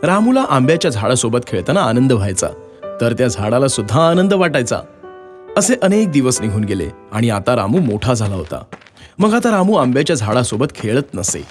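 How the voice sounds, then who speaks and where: Male narrator, medium pitch, animated intonation, scripted children's story, music underneath. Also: a little fast. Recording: music behind the voice.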